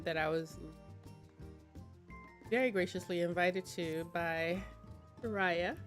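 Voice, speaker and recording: Chatty streamer woman with a cold. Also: lowish voice. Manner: chatty, rambling, self-mocking asides. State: slight cold, sniffling. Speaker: variety game streamer. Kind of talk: casual live-stream chat while gaming.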